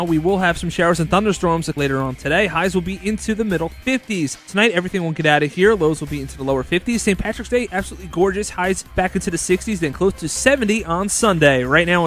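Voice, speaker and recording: A man delivering radio weather forecasts as a meteorologist, with brisk, upbeat forecaster patter. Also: high voice, fairly bright tone.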